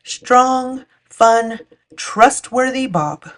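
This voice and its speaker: A woman's voice: fairly high pitch, expressive, extremely slow. Woman reading a children's storybook aloud. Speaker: children's picture book read-aloud host. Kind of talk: storytime read-aloud narration.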